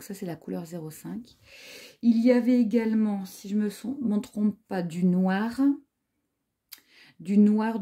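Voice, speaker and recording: A woman's voice: medium pitch, dark tone, slightly rough. A woman in her forties chatting casually about yarn she found, hesitant with many fillers and restarts. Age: 40s. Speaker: knitting vlogger. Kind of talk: casual product review chat.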